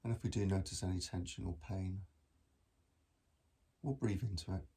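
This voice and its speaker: A man guiding a meditation in a gentle, measured manner with long pauses between phrases. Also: deep voice, vocal fry, slightly rough. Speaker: mindfulness meditation teacher. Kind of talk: guided body scan meditation.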